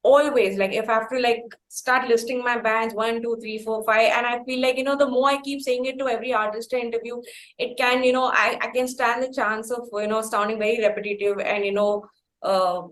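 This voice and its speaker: A woman's voice: a little high, fairly even intonation, fairly quick, poor audio. A woman with an Indian accent hosting a conversational interview, speaking in an effusive, wordy way. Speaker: interviewer, host.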